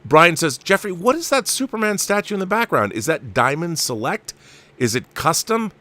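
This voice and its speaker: A man's voice: a little high, very animated, normal speed, sharp tone, slight background noise. A man hosting a casual live show, chatting enthusiastically with rambling asides and reading viewer comments aloud.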